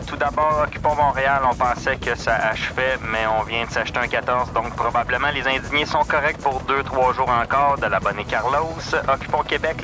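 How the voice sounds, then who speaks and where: A man's voice: mid-range voice, natural voice, low-quality recording. A man with a Quebec French accent playing a mock field reporter, delivering a formulaic parody traffic bulletin. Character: deadpan traffic-style bulletin reporter on protest occupations. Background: music under the voice.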